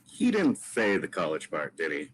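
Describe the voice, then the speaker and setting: A man's voice: low-pitched, huge pitch swings, normal speed, a little hiss or hum, rough recording. A man reading a supportive, teasing best friend at a comedy table read. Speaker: guest reading all the extra parts.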